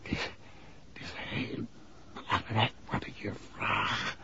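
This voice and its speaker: Muffled vintage radio drama, badly wounded man, extremely slow faltering words, strained sharp tone, pained gasps. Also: on the low side, level tone, gravelly, exaggerated voice. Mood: weak, desperate. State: in pain, gasping, faltering. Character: gravely injured man in pain, weak, desperate. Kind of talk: 1960s Dutch radio drama acting. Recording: muffled, noisy 1960s radio recording.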